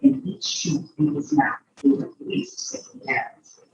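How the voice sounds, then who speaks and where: A woman reading a picture book aloud to a child, expressive, drawing out words and adding chatty asides. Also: sits high, measured pace, heavy reverb, recorded from a distance, highs cut off, background noise.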